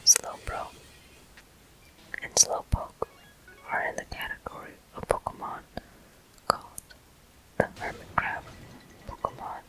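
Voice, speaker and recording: Whispered voice, very bright and airy, slow and deliberate, soft, frequent pauses, ASMR gameplay commentary. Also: soft background music, low-quality recording. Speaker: host of gameplay walkthrough.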